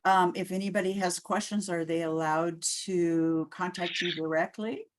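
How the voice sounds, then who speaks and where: A woman hosting a conference call in an informal, halting way. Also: on the low side, fairly even intonation. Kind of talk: informal meeting moderation.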